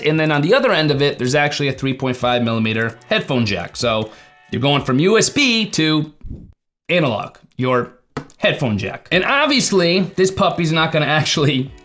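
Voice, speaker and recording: A man's voice: fairly high pitch, a bit bright. A man presenting a tech explainer video in a chatty, informal and emphatic way. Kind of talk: explainer vlog to camera.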